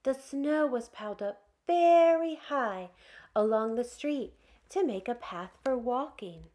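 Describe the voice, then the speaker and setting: Lady doing storytime for little kids, super expressive up and down voice, takes it slow, stretches words out. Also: a little high. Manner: expressive, drawn-out words, asks listeners questions. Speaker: children's storytime reader. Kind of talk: animated read-aloud for young children.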